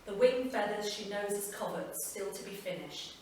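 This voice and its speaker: Woman reading a story out loud at a live event, mid pitch, echoey hall, mic pretty far off. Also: normal speed, slight background noise. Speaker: reader. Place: performance venue.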